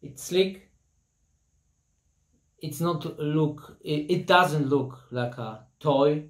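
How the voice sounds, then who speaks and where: A man in his thirties, not a native English speaker, casually reviewing a laptop in plain, halting English and apologizing for his language. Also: on the high side, echoey room. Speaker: tech reviewer. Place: hot room at home with a construction site nearby. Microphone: laptop built-in mic and camera. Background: buzzing construction noise.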